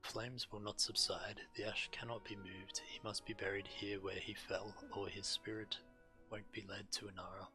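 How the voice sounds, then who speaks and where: A man with a fairly low voice reads game quest text aloud as a streamer. Clear music plays behind him as he narrates. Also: flat delivery, normal speed, pushed and bright.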